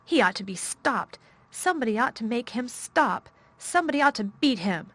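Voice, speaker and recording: A woman's voice: mid-range voice, moderate pace, a bit bright, natural voice. A woman voicing a fierce, bossy little girl in a temper, scolding and commanding. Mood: furious.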